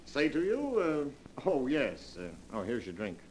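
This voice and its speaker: A cold-blooded male schemer in an old radio thriller. His delivery is smooth and courteous, with wide, theatrical swings of pitch and a calculating edge. The forties broadcast recording carries some light noise. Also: huge pitch swings, measured pace, darkish tone, poor audio. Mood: falsely genial. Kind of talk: 1940s radio drama acting.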